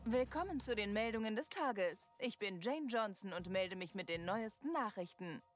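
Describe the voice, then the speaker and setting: A woman's voice: mid-range voice, lively intonation, normal speed, faint music behind, lightly acted. A woman playing a smug, chirpy television news anchor in a game, with a polished presenter's delivery. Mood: brisk, newsy. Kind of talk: scripted news bulletin parody.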